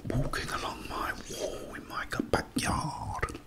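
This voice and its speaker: Whispering British man, ASMR storytelling. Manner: unhurried, rambling, anecdotal. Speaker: ASMR host.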